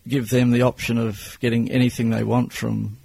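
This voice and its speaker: A man in his sixties with an Australian accent, answering interview questions as a business owner in a plain-spoken, measured way. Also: mid-range voice, level tone, creaky, mellow, low-quality recording. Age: 60s.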